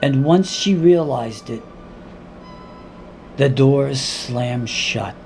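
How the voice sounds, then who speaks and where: Slow, gravelly man performing spoken word. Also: mid-range voice, a little mellow, faint music behind. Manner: deliberate, with weighty pauses and repeated words. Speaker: writer reading his own monologue. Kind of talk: spoken-word dramatic monologue.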